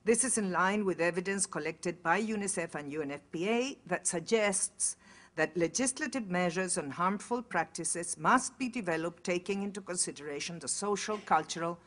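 A woman from Chile giving a formal conference keynote, speaking in a measured way, with tag questions and frequent self-corrections. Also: lowish voice, fairly bright tone.

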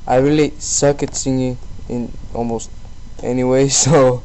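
Slow-paced man giving a casual software tutorial. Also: medium pitch, poor audio. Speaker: tutorial presenter. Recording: steady background noise on voice-over.

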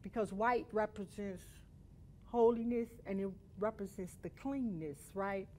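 A woman's voice: mid-range voice, a little slow, darkish tone, a little hiss or hum. A middle-aged woman teaching a Bible lesson to children in an instructive, emphatic way, often checking in with short tag questions. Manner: instructive, emphatic, with check-in tags like "right?" and "okay?". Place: indoor studio or church room.

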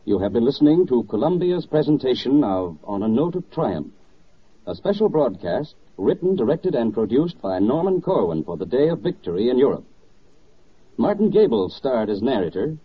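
A man's voice: mid-range voice, big pitch swings, moderate pace, husky. A man announcing in formal, enunciated 1940s radio style, reading from a script. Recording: muffled vintage 1940s radio recording.

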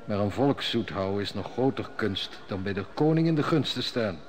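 A man's voice: lowish voice, expressive, normal speed, close to an everyday voice. A man playing a cynical, mocking court steward in a radio play, who drinks too much and schemes at court. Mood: scheming. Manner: sardonic, mocking. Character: sly cynical male royal steward, heavy drinker. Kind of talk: 1960s radio drama acting.

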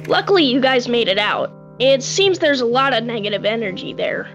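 Woman as a high-voiced young boy fox. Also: expressive, a little fast, muffled recording, over music. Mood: matter-of-fact. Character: gentle, sensible young male fox sidekick. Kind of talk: fan comic dub acting.

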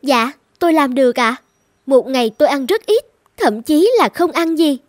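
A woman voicing a sly young demon bride who acts demure, sweet and deferential in an animated folk tale. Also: sits high, moderate pace, dry recording, studio quality. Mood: self-assured.

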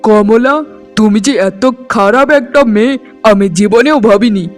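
A man's voice: high-pitched, moderate pace, soft background music, lightly acted. A man playing an angry, devoted young husband and son in a melodramatic, emphatic cartoon style. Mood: angry, scornful. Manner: emphatic, scolding, dramatic.

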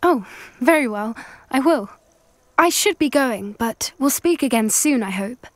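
A woman voicing an earnest, caring teenage girl student in a video game, with a gentle manner. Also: high voice, expressive, measured pace, dry recording. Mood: hopeful.

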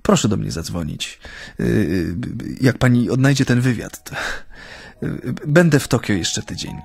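A man's voice: medium pitch, animated, gravelly, a little mellow, breathy edge. An actor playing an ailing, middle-aged Japanese man settled in Poland, courteous and reflective, speaking hesitantly in a radio drama. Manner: halting, hesitant, searching for words. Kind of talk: naturalistic radio drama acting.

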